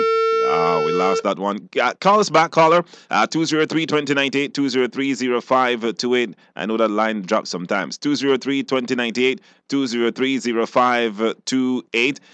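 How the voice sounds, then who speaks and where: A man's voice: medium pitch, animated, moderate pace, hardly any pauses, a bit bright, clean tone, no room sound. Belizean man hosting radio, close dry studio sound. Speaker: radio call-in show host. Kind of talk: live radio hosting with call-ins.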